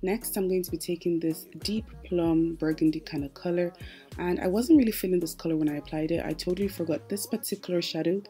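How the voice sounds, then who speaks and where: Low-pitched, mellow woman narrating a tutorial. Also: fairly even intonation, brisk. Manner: chatty, explanatory, addresses viewers directly. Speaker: makeup tutorial host. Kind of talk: casual tutorial voice-over. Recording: music playing behind the voice. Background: background music.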